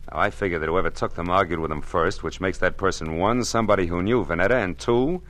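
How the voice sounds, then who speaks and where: A man's voice: low voice, fast talker, fairly bright tone. An actor playing a tough, wisecracking private eye who narrates his own case in first person, in old-time radio drama style. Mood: pressing. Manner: hard-boiled, wry first-person narration. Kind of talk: 1940s radio drama acting.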